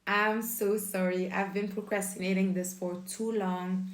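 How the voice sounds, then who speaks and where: A woman's voice: mid-range voice, flat delivery, unhurried, reverberant. A young woman from Belgium whose first language is Dutch talks in English in a casual, giggly, apologetic chat to camera. She records on her phone. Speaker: vlogger.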